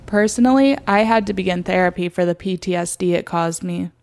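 A woman's voice: on the low side, brisk, darkish tone. A young woman reading a scripted first-person documentary narration. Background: music.

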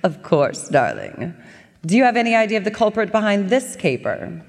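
A woman's voice: medium pitch, very animated, moderate pace, gravelly. Lady acting as a snobby sly cat, dramatic and swoopy, radio play, big echoey hall. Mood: purring, charmed. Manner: theatrical, arch, florid insults. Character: haughty, sly female house cat with theatrical indignation.

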